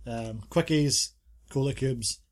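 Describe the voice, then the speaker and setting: A man's voice: mid-range voice, takes it slowly. A man co-hosting a casual podcast, chatting about bars and reading reviews aloud with playful asides. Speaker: podcast co-host.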